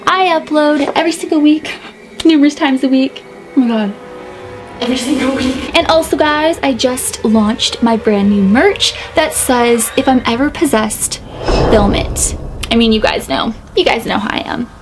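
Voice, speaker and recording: A young woman in her twenties vlogging a chatty unboxing in a small bedroom, excitable and a bit hoarse as her voice fades. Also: high voice, normal speed. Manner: excitable, chatty, gasps and laughs a lot. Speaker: YouTuber. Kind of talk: casual unboxing vlog. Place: small bedroom decorated with dolls.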